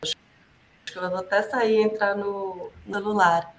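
A woman's voice: medium pitch, level tone, normal speed. Casual woman guest, echoey call audio with a light hiss. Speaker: guest expert. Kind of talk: online panel introduction. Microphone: video call with a bad connection. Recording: echoey room over a video call.

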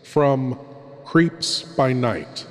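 A man playing a sinister, suavely macabre horror host, speaking theatrically with dramatic, deliberate pauses in a scripted introduction. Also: medium pitch, expressive. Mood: macabre relish. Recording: long cavernous hall reverb.

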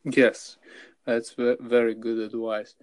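A man's voice: medium pitch, level tone, slow, no room sound, clean studio sound. A man hosting a casual interview who asks his questions hesitantly, stumbling over his words. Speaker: podcast host.

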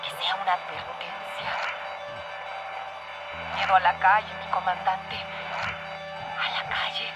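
An actress playing a frightened, pleading subordinate in a radio drama, halting and repetitive, moving between whispers and outcries. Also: female voice, mid-range voice, little pitch movement, natural voice. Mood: fearful, hushed. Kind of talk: theatrical radio drama monologue. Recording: music behind the voice.

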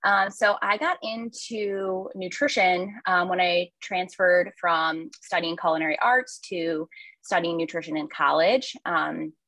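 A young woman talks as a podcast guest, friendly and thoughtful, pausing on fillers. Her voice sits a bit low for a woman. The sound is dry and close. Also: fairly bright tone, no echo, rough recording. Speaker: podcast guest, nutrition coach. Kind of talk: casual podcast interview.

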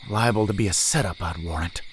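A wary hired hand mutters low and quick, voiced by a male storyteller. His low voice carries a faint hiss in an audiobook. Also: close to an everyday voice.

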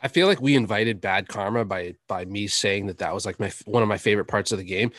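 Deadpan man, close dry-sounding recording. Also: lowish voice, fast talker, no echo. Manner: deadpan, laconic. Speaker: sports podcast co-host. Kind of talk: casual chat, sports banter.

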